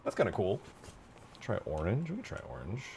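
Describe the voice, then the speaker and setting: A man's voice: fairly low pitch, huge pitch swings, moderate pace, creaky. A man giving casual, rambling commentary as a game modder, thinking aloud in slangy, informal speech. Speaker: game modder showing work in progress.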